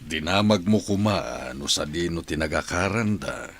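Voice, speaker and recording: Caring male friend, played by a man, extremely low gravelly voice, Ilocano accent, earnest, consoling radio-drama delivery. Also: expressive, moderate pace. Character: caring, reassuring male friend of a troubled woman.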